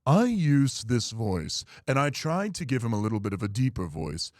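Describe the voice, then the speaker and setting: A man voicing a composed video game prosecuting attorney with a deliberate, put-on deeper delivery. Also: big pitch swings, no room sound, studio quality, close to an everyday voice.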